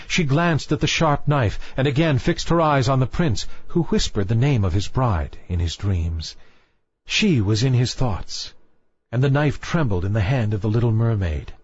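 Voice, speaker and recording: A man reading a fairy tale as a storyteller, in a measured literary style. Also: mid-range voice, husky, no echo, dull audio. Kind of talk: scripted fairy tale narration.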